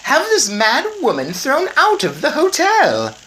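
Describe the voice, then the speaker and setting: A woman's voice: huge pitch swings, a little slow, a bit bright, a little hiss or hum, heavily acted. Shrieking snobbish lady. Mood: shrieking outrage. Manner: shrill and outraged. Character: shrieking, snobbish hotel guest woman.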